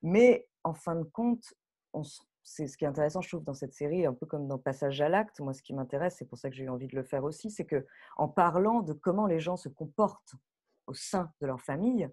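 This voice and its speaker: A woman speaking casually and spontaneously as a guest director on a panel, animated and digressive in the way she talks. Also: deep voice, fairly quick.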